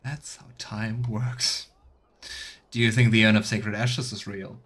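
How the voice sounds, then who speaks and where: A man's voice: fairly low pitch, level tone, unhurried, a bit bright, breathy edge. A man playing through a fantasy game as a streamer, reading dialogue aloud and commenting casually. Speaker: streamer, let's player.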